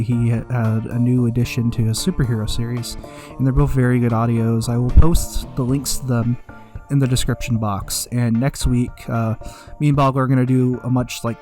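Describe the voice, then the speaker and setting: A man's voice: mid-range voice, dark tone. A man co-hosting a podcast in a casual, nervous and apologetic way, recorded on a computer microphone. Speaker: podcast co-host. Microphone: computer's built-in microphone. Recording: computer mic, music behind intro.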